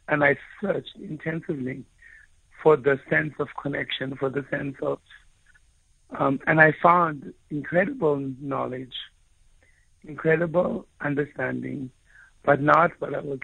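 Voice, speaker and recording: A South African man, Afrikaans by birth, recounting his own experiences in an earnest, searching way. His voice sits a little high and has a gravelly edge. It comes over a thin, phone-like line with no room sound. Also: no echo. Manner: earnest, searching for words, frequent restarts. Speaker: author and guest. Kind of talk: reflective personal storytelling.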